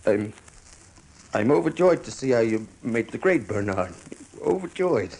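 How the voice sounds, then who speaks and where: Gravelly, husky ageing salesman. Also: mid-range voice, very animated, a little slow, a little mellow. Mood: forced cheer. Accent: American. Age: older. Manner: stammering, rambling, emphatic. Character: weary ageing travelling salesman, proud, desperate, self-deluding. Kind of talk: stage-style radio drama acting.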